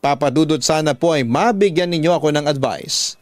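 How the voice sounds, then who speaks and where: A Filipino man hosts a radio story program in a fairly high male voice. He reads letters as dramatic first-person narration and speaks as an advice giver. The sound is close and dry, with no room around it. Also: brisk, no room sound, faint music behind.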